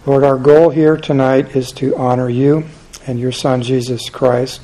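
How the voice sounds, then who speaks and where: A soft-spoken man teaching a church Bible class and leading a prayer, halting with frequent fillers. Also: medium pitch, level tone, poor audio.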